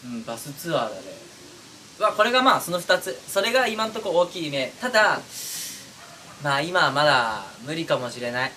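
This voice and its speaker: Animated young man, distant noisy recording. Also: on the high side, brisk. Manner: chuckles between phrases, informal. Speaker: voice-acting content creator. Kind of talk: casual chat to viewers. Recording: distant mic with clear background noise.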